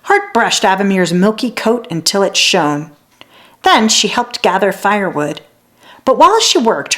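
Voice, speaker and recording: A woman reads a children's story aloud in an expressive, deliberate way. Her mid-range voice moves freely up and down as she tells the tale. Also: mid-range voice. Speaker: children's read-aloud narrator. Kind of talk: scripted storybook narration.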